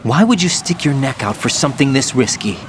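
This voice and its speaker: A man's voice: mid-range voice, animated, brisk, background noise, natural voice. An actor playing an idealistic, questioning young male soldier, incredulous and insistent, in a video game. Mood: skeptical. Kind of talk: dramatic video game acting.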